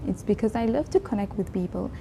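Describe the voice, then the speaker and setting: A woman in her twenties from Mauritius, whose first language is Creole, talking earnestly and reflectively in a personal vlog, pausing and restarting often. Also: medium pitch, animated, creaky, mellow, a little hiss or hum. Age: 20s. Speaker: vlogger. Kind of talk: casual personal vlog talk.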